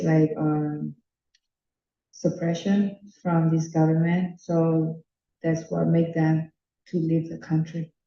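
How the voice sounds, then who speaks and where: A young woman from Burma telling her story in a plain, steady way. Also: deep voice. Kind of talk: personal testimony. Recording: dull, echoey room recording.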